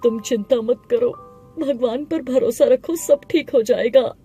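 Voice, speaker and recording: A woman voicing a humble, gentle village husband in an animated moral story, earnest and deferential, with music behind. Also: a little high, a little slow, close to an everyday voice. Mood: gentle, reassuring. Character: humble poor husband, adult man, pleading and reassuring. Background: background music.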